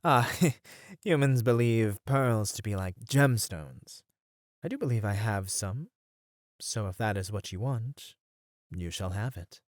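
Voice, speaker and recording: A man playing a merman siren in an ASMR roleplay, speaking in courteous, formal phrases as a seemingly gentle creature with a predatory streak. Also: on the low side, expressive, no echo, clean studio sound. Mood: shy, hopeful. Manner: soft, courteous, formal, halting speech. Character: male merman siren, feigning gentle innocence, secretly predatory.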